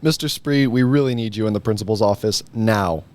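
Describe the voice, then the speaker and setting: An officious school assistant, acted by a young man, with a lowish voice raised to summon formally. The delivery is insistent, in the style of an amateur comedy read. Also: fairly quick, darkish tone, lightly acted. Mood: loud, insistent. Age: teenager.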